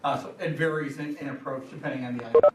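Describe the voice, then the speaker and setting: A man answering questions at a school board meeting, casual and halting. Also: medium pitch, fairly even intonation. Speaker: school superintendent. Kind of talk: explaining at a meeting. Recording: distant echoey room mic, faint noise.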